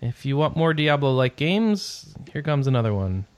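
A man's voice: mid-range voice, big pitch swings, normal speed, no echo. A man hosting a casual podcast, reading out gaming news with rambling, sarcastic asides that trail off into mumbles.

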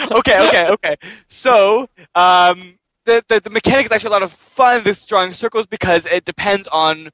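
A man's voice: high-pitched, normal speed, a bit bright, no echo. A man talking casually and without preparation about games as a podcast panelist, rambling and easily flustered. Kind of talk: casual unprepared game review chat.

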